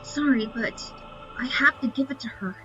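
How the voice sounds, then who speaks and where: A woman's voice: high voice, moderate pace, pushed and bright, faint music behind. A woman giving casual commentary as she plays through a video game. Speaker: gamer presenting a playthrough. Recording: dull lo-fi mic.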